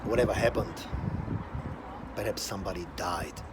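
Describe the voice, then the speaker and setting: A man telling a personal story as a deliberate, dramatic monologue in short emphatic phrases, with a scornful edge. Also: low-pitched. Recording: loud outdoor traffic-like ambient noise.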